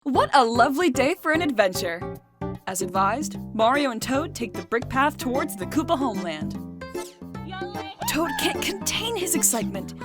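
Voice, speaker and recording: A woman's voice: high voice, big pitch swings, moderate pace, pauses often, soft background music. A woman reading scripted storybook narration as the narrator of an adventure tale.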